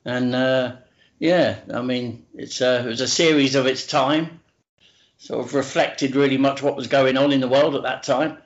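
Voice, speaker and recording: A middle-aged man chatting casually as a podcast co-host, low-key and hesitant, over an internet call. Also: steady tone, normal speed. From London. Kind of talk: casual chat. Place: remote Skype call from home during lockdown. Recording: dull lo-fi internet call audio.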